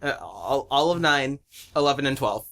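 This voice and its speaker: A man chatting casually with friends on a commentary track, in an excitable, jokey, giggly manner. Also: medium pitch, normal speed. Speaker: series creator and editor on a commentary track.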